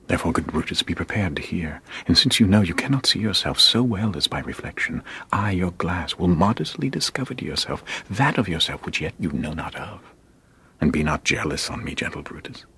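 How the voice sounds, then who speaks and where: An actor playing a persuasive, scheming Roman plotter in a classical radio drama, with coaxing, insinuating delivery. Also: male voice, low-pitched, lively intonation, brisk, pushed and bright, husky, heavily acted.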